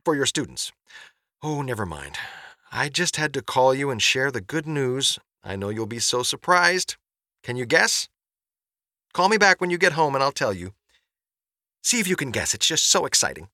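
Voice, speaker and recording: A man voicing an excitable, chatty, flustered middle-aged mother in an audiobook, gushing and rambling through phone messages. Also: mid-range voice, moderate pace, long pauses, dry recording, studio quality, pitched above the performer's own voice. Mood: giddy, excited. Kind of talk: audiobook character voice.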